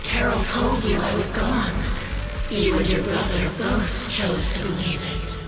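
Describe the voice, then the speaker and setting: Echoing, slow, taunting female phantom. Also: medium pitch. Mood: accusing, menacing. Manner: taunting, deliberate, coolly accusing. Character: menacing, taunting female phantom from the heroine's past. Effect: echoing reverb. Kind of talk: dramatic video game villain acting. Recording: heavy echo, distant, music behind.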